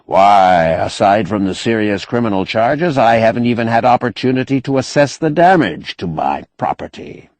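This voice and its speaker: A man's voice: lowish voice, big pitch swings, normal speed, few pauses, no room sound. A man voicing a wily, indignant, eloquent doctor with pompous, ornate oratory in an audiobook. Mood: indignant, commanding. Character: wily, indignant, eloquent male doctor, master of his house. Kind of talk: audiobook character acting.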